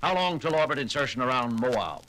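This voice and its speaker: A man's voice: medium pitch, big pitch swings, fairly quick, noisy background, lightly acted. A man playing an exasperated, commanding starship captain in a 1970s space adventure audio drama, speaking with emphatic delivery. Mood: weary. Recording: 1970s record drama with music behind.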